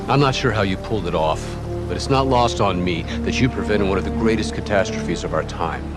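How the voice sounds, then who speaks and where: An actor playing a composed, persuasive government official in a video game, with formal, measured delivery. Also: male voice, deep voice, loud music behind the voice, lightly acted. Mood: earnest, persuasive.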